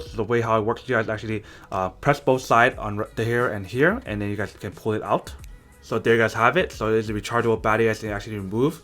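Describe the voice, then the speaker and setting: A man's voice: lowish voice, fairly bright tone. A man casually unboxing and reviewing a gadget, chatty and addressing viewers directly. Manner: chatty, keeps addressing viewers as "you guys". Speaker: product reviewer.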